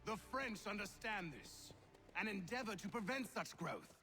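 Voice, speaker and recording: A man's voice: high-pitched, lively intonation, normal speed. An actor playing an arrogant, ruthless British army general in a video game, pompous and commanding in his declamatory speech. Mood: pompous, menacing. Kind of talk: dramatic video game acting. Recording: loud music behind the voice.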